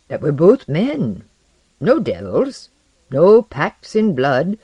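A narrator acting out a medieval alchemist in a storybook, intense and courteous. He draws his words out slowly and swings widely in pitch, with grave, impassioned feeling. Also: dark tone, husky, no echo, exaggerated voice. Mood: earnest, gracious.

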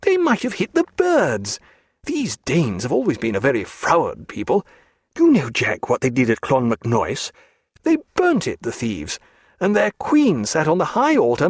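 Man playing an excitable, high-pitched learned gentleman. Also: breathy edge, no echo, studio quality. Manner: exclamatory, formal old-fashioned speech, pompous. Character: excitable, learned ship's man, keen birdwatcher, easily outraged.

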